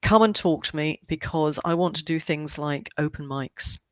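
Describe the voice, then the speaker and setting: A woman's voice: deep voice, normal speed, no room sound. Enthusiastic woman, dry close voice. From Switzerland. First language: English. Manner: enthusiastic, rambling with restarts. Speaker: podcast guest. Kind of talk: casual interview chat.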